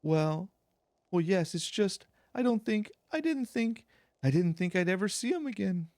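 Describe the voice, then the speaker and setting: A man voicing an earnest, grateful and flustered engineer from a fantasy game, speaking haltingly. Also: sits high, animated, darkish tone, no room sound, clean studio sound, voice pushed up. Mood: flustered, moved.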